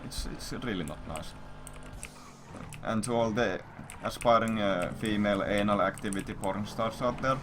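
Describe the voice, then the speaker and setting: A man's voice: fairly low pitch, normal speed, noisy background. A man livestreaming, telling a story in casual, rambling chat with blunt, repetitive, profanity-heavy talk.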